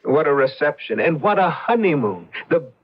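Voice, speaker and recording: A man's voice: fairly high pitch, sing-song, normal speed, slight background noise. An actor in an old radio drama playing an ambitious, impatient, slick husband turned rich businessman. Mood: eager, cocky. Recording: dull lo-fi radio drama recording.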